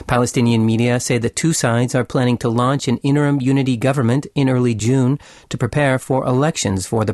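A man's voice: medium pitch, darkish tone, dry recording. A man reading a scripted radio news bulletin as a news reader.

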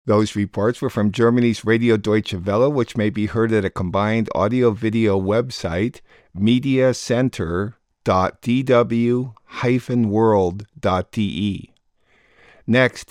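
A man's voice: on the low side, no echo, clean studio sound. A man hosting a radio program, reading scripted introductions and summaries of news reports.

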